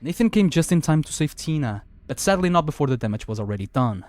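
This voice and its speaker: A man's voice: fairly high pitch, very animated, fast talker, very noisy. A man narrating a scripted video review of a horror series as its host.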